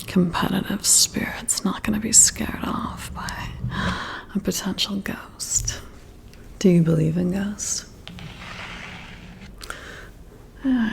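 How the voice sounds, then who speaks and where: A woman playing a friendly, competitive female boss working late, in a soft breathy whisper, hushed and gently teasing in an ASMR roleplay. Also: medium pitch. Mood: playful, encouraging.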